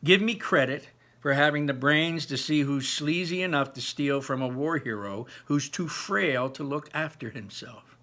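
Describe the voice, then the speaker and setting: An indignant teenage boy from a storybook, acted out by a man reading aloud. He is defiant and sputtering, with a bright, forceful edge. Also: a little high, moderate pace, pitched above the performer's own voice. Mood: seething defiance.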